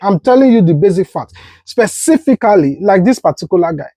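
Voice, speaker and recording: A man's voice: sits high, brisk, no echo, clean studio sound. A man giving heated vlog commentary, speaking straight to his viewers. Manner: heated, lively, direct to viewers. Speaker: vlogger.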